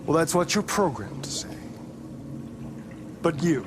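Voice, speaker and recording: An actor playing a calm, provocative male genius inventor in a game cutscene, speaking in a languid, philosophical way with soft taunts. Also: a little high, unhurried, noisy background. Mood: musing. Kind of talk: video game cutscene acting.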